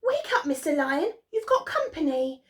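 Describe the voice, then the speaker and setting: A woman doing a squeaky timid little mouse. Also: very animated, normal speed. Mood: nervous. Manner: squeaky, stammering. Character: tiny timid male mouse, eager and hopeful.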